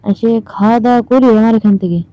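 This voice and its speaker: A woman's voice: on the high side, brisk. Woman chatting on a muffled phone line. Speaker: caller in a staged phone conversation. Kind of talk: casual flirtatious phone chat. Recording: muffled lo-fi phone call recording.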